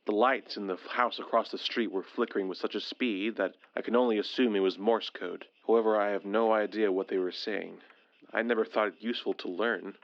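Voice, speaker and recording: A man's voice: fairly low pitch, steady tone, fairly quick, dull audio, lightly acted. A man playing an anxious, frustrated survivor of an alien invasion, formal and earnest, in an audio drama. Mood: uneasy, wry. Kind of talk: dramatic audio drama acting with journal narration.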